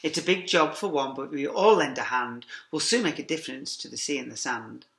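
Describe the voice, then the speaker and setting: A middle-aged man teacher reading a rhyming picture book aloud to young children in a lively sing-song way, with quiet asides to them, filmed on a laptop webcam in a classroom. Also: mid-range voice, fairly quick, creaky. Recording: echoey classroom.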